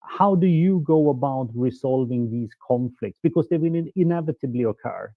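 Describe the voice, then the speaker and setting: A man's voice: mid-range voice, expressive, moderate pace, no room sound. A man who grew up in Sweden, asking long, courteous questions in a conference panel discussion. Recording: dry tinny phone-like line.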